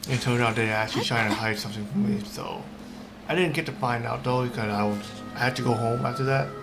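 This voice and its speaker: A man chats over gameplay as a streamer. His talk is informal and halting, a mid-pitched voice with game music underneath. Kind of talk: casual reacting and rambling over gameplay.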